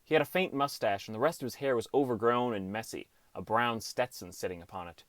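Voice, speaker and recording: A man's voice: mid-range voice, a little fast, no echo, natural voice. An actor playing a downcast teenage boy who narrates his gaming adventure in an understated, wry first-person audiobook style. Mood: reflective narration. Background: music.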